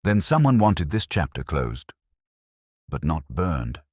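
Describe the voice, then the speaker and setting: A man voicing a shrewd, grave detective in an audiobook, speaking deliberately and pressing his point. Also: rumbling low, sing-song, a little mellow, slightly rough, no room sound, clean studio sound, full character voice. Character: shrewd, grave, probing male Victorian detective. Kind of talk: audiobook character dialogue.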